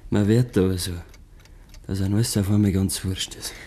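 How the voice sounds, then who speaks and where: An actor playing an uneasy, weary Bavarian husband in a dialect radio play, muttering and halting as he talks. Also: low voice, fairly even intonation, mellow. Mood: weary, bitter.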